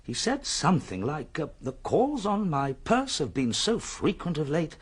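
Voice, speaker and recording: Formal gentleman, radio drama, higher-pitched, husky, very animated, precise. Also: measured pace, full character voice. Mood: precise, puzzled. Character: precise, observant gentleman witness.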